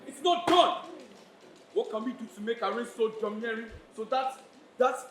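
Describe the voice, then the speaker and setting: A high male voice rings through an echoing theatre with faint noise. An actor plays a freshly crowned king who addresses his subjects grandly and schemes for power. The delivery is stately stage declamation. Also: normal speed. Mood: plotting. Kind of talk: stage play acting.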